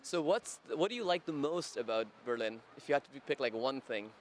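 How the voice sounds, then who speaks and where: A man's voice: mid-range voice, lively intonation, a little fast, slight background noise. A young man hosting casual interviews on a city street and in a cafe, asking friendly, short questions into a handheld mic. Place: outdoors on a city street at night, then in a cafe. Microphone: handheld mic held toward guests.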